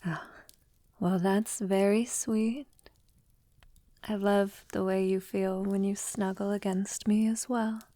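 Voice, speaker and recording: A woman playing an affectionate centuries-old vampire lover in an intimate ASMR roleplay, speaking softly and formally, often slipping toward a breathy whisper. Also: medium pitch, flat delivery, no room sound, natural voice. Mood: tender, welcoming. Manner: soft, tender, unhurried, often breathy near-whisper; formal, elegant wording.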